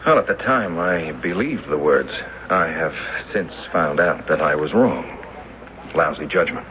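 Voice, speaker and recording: An actor in a radio drama playing a mild, earnest schoolteacher who speaks in a halting, hesitant way. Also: male voice, very deep voice, expressive, fairly bright tone, heavily acted. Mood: hesitant, rueful. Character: earnest mild schoolteacher, former bank teller, recalling a robbery. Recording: dull hissy old radio recording.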